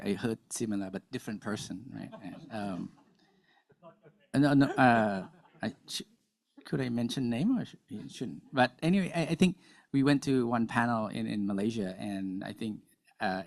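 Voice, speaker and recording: A man's voice: fairly low pitch, moderate pace, creaky, darkish tone. A man speaking as a conference panelist, informal and chatty with hesitant phrasing. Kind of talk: panel discussion.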